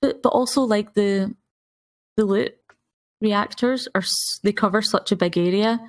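A woman's voice: mid-range voice, unhurried. A woman chatting casually as a panellist on a podcast. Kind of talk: casual chat.

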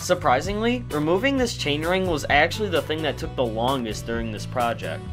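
A man's voice: a little high, lively intonation, brisk, over loud music. A teenage boy hosting a do-it-yourself video, narrating in a jokey, upbeat, playful way. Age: teens. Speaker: channel host. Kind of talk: casual DIY vlog narration.

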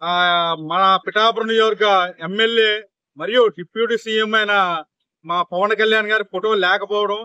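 A middle-aged man, a local party leader, speaks emphatically into press microphones outdoors, pausing on drawn-out fillers. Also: high-pitched, fairly even intonation, sharp tone, clean tone, no echo, highs cut off. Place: outdoors on a street. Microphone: handheld press microphones.

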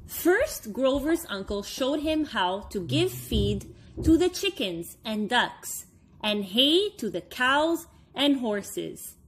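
High, lively woman reading a children's story. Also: slight background noise. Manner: expressive, deliberate phrasing for young listeners. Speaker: story time reader for children. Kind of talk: read-aloud children's storybook narration.

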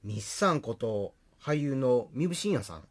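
A man's voice: medium pitch, lively intonation, unhurried. A man playing an eager, sociable accountant in a comic radio drama.

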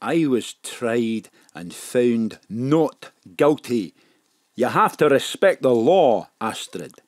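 An actor in an audio drama playing a wary, cocky safecracker gangster with rough, slangy talk. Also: mid-range voice, expressive, takes it slowly, no room sound, clean studio sound. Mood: guarded.

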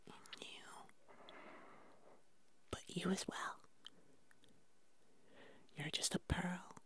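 Casting: doting furry creature played by a woman for ASMR roleplay; lowish, breathy whisper, tender and soothing. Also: a bit bright, full character voice. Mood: adoring. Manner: slow, soothing, affectionate murmuring. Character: gentle, doting furry puppet creature tending houseplants.

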